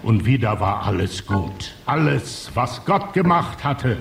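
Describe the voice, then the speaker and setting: A solemn male storyteller in a poetic radio drama. He speaks in slow, deliberate fragments with a gravelly creak. The room echoes around him. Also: mid-range voice, very animated, full character voice. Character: solemn male teller of a pious fable. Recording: roomy echo, faint music. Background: faint music.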